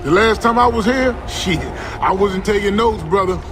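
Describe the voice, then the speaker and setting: An actor playing a brash, easygoing soldier in a video game, talking in a casual, wry, swaggering way. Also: male voice, high-pitched, animated, moderate pace. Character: brash, easygoing male soldier, former sports star. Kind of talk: video game voice acting, partly narrating events. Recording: music behind the voice.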